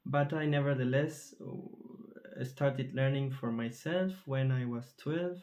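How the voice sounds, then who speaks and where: A man from Chile, a composer answering interview questions thoughtfully, often pausing and searching for words. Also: medium pitch, fairly even intonation, darkish tone. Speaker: composer in residence.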